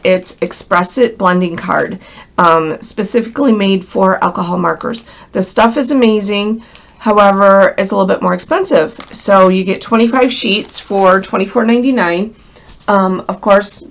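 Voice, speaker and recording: A woman's voice: medium pitch, vocal fry. Crackly-voiced American woman teaching a craft. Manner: chatty, friendly, addresses viewers directly. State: crackly voice from a cold. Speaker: craft instructor. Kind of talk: casual hands-on craft tutorial.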